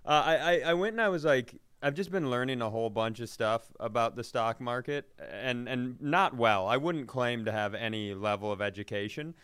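Smooth-voiced man in casual comic banter. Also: medium pitch, normal speed, hardly any pauses, fairly bright tone, no echo, clean studio sound. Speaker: podcast host, comedian.

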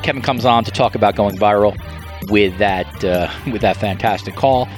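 A man's voice: on the low side, moderate pace, soft background music. A middle-aged man hosting a podcast in a conversational style, hesitant and trailing off at phrase ends. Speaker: podcast host.

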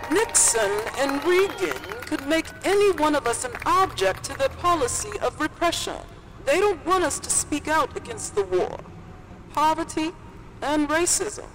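A woman's voice: high-pitched, lively intonation, unhurried, a little hiss or hum, low-quality recording. An actress playing a passionate young woman activist in a historical audio drama, giving an emphatic, defiant protest speech. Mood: rallying. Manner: impassioned, emphatic rallying delivery. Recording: loud crowd and music behind speech.

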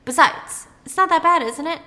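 A woman voicing a calm, creepy male kidnapper in a story, matter-of-fact and coaxing. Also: extremely high pitch, normal speed, pitched above the performer's own voice. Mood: coaxing, menacing. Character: calm, creepy young man, polite kidnapper.

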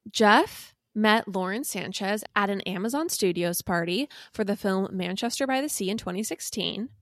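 A woman's voice: mid-range voice, animated, moderate pace, a bit bright, no room sound, studio quality. Playfully dramatic woman podcast host. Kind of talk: conversational storytelling recap.

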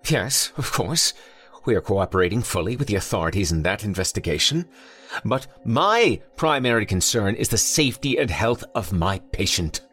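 A man voicing a fussy, excitable male scientist doctor who stammers when cornered. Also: lowish voice, moderate pace, slightly rough, soft background music, voice pushed up, exaggerated voice. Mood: excited.

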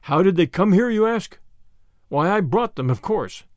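A man's voice: high-pitched, lively intonation, normal speed, no echo, studio quality. A man voicing a small, mild, shrewd country priest in a storybook, speaking simply with a timid, apologetic manner. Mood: calm, simple.

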